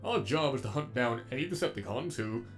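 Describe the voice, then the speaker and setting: A man's voice: medium pitch, animated, fairly quick, a bit bright, faint music behind, natural voice. A man voicing a sadistic, erudite robot villain with an eloquent, menacingly polite delivery, as in a comic dub. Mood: mocking, menacing.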